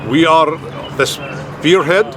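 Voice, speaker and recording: A man's voice: a little high, slow pace, background noise. A man from Palestine, an activist, speaking earnestly in a street interview at an outdoor rally. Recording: outdoor recording with loud crowd.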